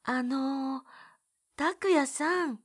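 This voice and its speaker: An actress playing a shy, gentle young woman who loves plants in a Japanese audio drama, speaking softly and earnestly. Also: high-pitched, very slow. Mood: hesitant, pondering.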